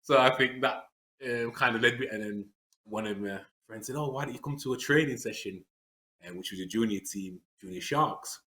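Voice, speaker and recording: A man's voice: medium pitch, normal speed, bright, forceful tone. A man, speaking earnestly as an interview guest, with frequent fillers and restarts. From England. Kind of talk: reflective conversational interview.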